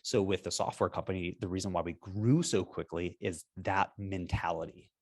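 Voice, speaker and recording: A man, a company founder, talking in a casual business interview over a video call. He is energetic and emphatic and keeps checking in with "right?". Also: sits low, normal speed, husky. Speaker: software company founder, guest.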